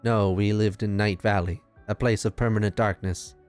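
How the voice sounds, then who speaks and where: A determined young hero type of mortal adventurer, acted by a man, earnest and persuasive. The male voice is very smooth and sits slightly low, with soft music behind. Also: steady tone, normal speed, lightly acted. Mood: sincere.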